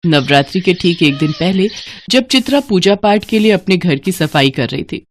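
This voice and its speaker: A woman's voice: on the low side, expressive, moderate pace, background noise. A woman narrating a scripted devotional story in a dramatic storytelling manner. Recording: music behind the voice. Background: background music.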